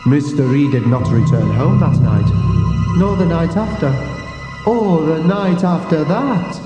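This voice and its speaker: A man's voice: fairly high pitch, lively intonation, measured pace. A man reading a scripted comic mystery tale as a storyteller, in a melodramatic, mock-portentous manner. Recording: echoey, long reverb, music behind. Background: music.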